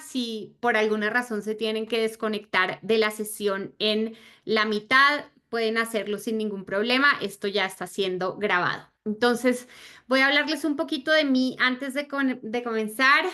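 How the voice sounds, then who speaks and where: Bright-voiced woman leading a video call. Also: a little high, moderate pace. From Argentina. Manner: friendly, chatty presenter with fillers. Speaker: sales trainer. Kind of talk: online training session.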